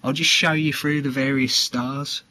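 A man informally presenting collectible cards for sale in a homemade video, speaking haltingly. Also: mid-range voice, steady tone, bright, forceful tone, rough recording. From United Kingdom. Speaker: trading card seller presenting his video. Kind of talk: informal product showcase.

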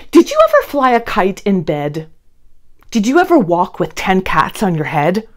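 A woman, around her thirties, talking and reading to young children in an expressive, emphatic way. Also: medium pitch, moderate pace. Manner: animated, emphatic, addressing children directly. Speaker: children's read-along host. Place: indoor room, seated before a plain blue wall.

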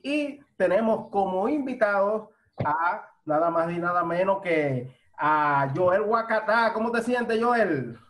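A man's voice: sits high, expressive, slow, fairly bright tone. A middle-aged man hosting an interview over a video call from a room at home, often restarting his questions. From Dominican Republic. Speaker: host.

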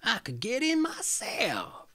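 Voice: medium pitch, huge pitch swings, slow, pushed and bright, no room sound, studio quality, pitched above the performer's own voice, full character voice. A reader voicing a cruel, greedy old female witch in a read-aloud fairy tale, with a menacing villain's manner.

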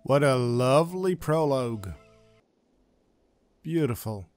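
A man's voice: medium pitch, lively intonation, slow, darkish tone, faint music behind. A man giving casual, wry, understated commentary as he plays through a video game. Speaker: let's play host.

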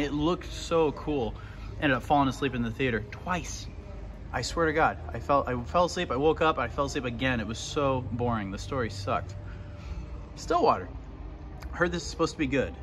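A man, talking into a camera held at arm's length as he walks through a shopping mall. His delivery is laid-back, blunt and sarcastic. Steady mall noise runs under his voice. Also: medium pitch, moderate pace. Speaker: vlogger. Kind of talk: casual walking vlog. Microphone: handheld camera at arm's length. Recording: noisy mall ambience.

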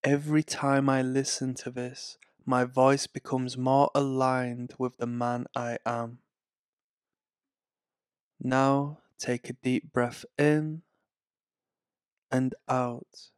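A man reading guided affirmations in a calm, soothing, scripted way. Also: mid-range voice, smooth, no echo, clean studio sound. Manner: slow, calm, soothing delivery. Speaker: affirmation narrator.

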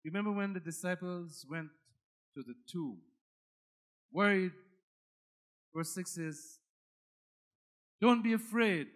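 High-pitched Guyanese preacher, a man. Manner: emphatic, dramatic, repeats phrases for effect. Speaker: pastor. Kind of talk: sermon.

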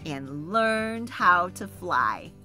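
A woman reading a children's picture book aloud, voicing the first-person narration with animated expression, soft laughs and sighs. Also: fairly low pitch, drawn-out words, over loud music. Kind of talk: expressive read-aloud storytelling.

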